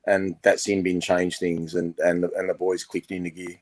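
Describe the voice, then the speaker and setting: An Australian man co-hosting a podcast, with a hoarse, recovering voice and a relaxed, rambling way of talking, full of fillers. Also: low voice, normal speed, dry recording. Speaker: podcast co-host. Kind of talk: casual chat.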